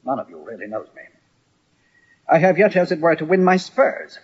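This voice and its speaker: A proud, quick-tempered captain in an old radio play. His voice is husky and swoops expressively up and up again, with formal, dignified phrasing. The recording is dull and band-limited, like an old broadcast. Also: fairly high pitch, sing-song, darkish tone. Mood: offended, resolute. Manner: formal, dignified, theatrical diction. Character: proud, hot-tempered young army captain, newly transferred to the regiment. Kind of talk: old-time radio drama acting.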